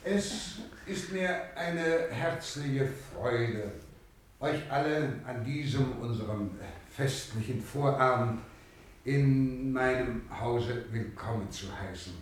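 Echoey, distant male actor as pompous family patriarch, ceremonial radio-play toast, deliberate slow delivery. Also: medium pitch, close to an everyday voice. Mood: solemn, festive. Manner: pompous, long-winded. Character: formal father hosting a party, giving a solemn toast. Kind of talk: radio play, ceremonial after-dinner speech. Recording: echoey hall, distant, faint noise.

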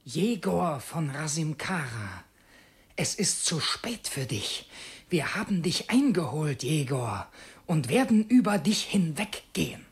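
A husky, brooding infiltrator. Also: male voice, on the high side, big pitch swings, heavily acted. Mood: cold, menacing. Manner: measured, brooding diary narration, formally courteous to employers. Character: cunning male infiltrator posing as loyal private secretary. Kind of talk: German radio drama acting.

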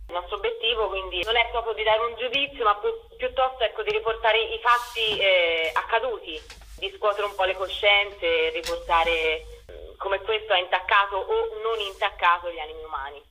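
A woman's voice: medium pitch, moderate pace, bright, forceful tone, slight background noise, rough recording. A woman speaking as a theatre director in a radio interview, explaining her work over a phone-style line.

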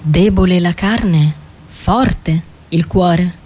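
Slow, solemn Greek chorus. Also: female voice, fairly low pitch, darkish tone, slightly rough, heavily acted. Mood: incantatory. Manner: solemn, incantatory. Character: solemn Greek chorus woman announcing and judging a queen. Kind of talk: ritual theatrical declamation in radio drama.

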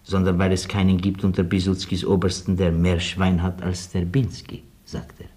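Man playing a low-voiced, cheeky military orderly. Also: completely flat delivery, moderate pace, a little mellow, close to an everyday voice. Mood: dry, reporting. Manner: dry, deferential yet cheeky. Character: loyal, dryly cheeky male orderly of a Polish colonel. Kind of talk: radio drama acting.

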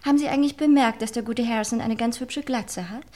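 A woman's voice: fairly high pitch, fast talker, warm tone, close to an everyday voice. A woman playing a flippant upper-class niece in a radio crime play, pointed and lightly mocking. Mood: teasing, pointed. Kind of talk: old German radio play acting.

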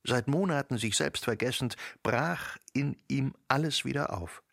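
A man narrating a radio drama in a literary, dramatic style, with deliberate phrasing and emphatic pauses. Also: medium pitch, vocal fry, fairly bright tone, no room sound, clean studio sound.

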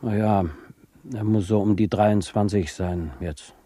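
A man playing a muddled, grumpy, drugged father in a satirical radio drama, halting, coarse and vague. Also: fairly low pitch, fairly even intonation, mellow, no echo.

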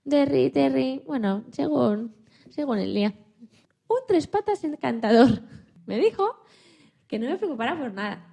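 Woman playing a high-voiced, tender young dog. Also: lively intonation, unhurried, vocal fry, pauses often, dark tone, full character voice. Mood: tender, earnest. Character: young male hunting dog, tender, timid, hopeful dreamer. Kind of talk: children's story radio play, first-person narration.

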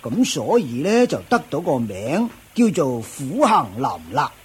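An actor playing a proud older ascetic master in a radio drama, with a husky, raspy voice, a drawling self-satisfied manner. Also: male voice, mid-range voice, huge pitch swings, unhurried, heavily acted. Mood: explanatory, proud. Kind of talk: Cantonese radio drama acting.

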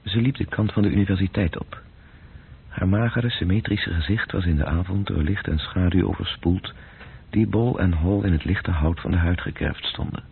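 A man narrating a literary radio drama in a measured, descriptive way, with a deep, gravelly voice. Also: slight background noise. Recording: muffled narrow-band radio play recording.

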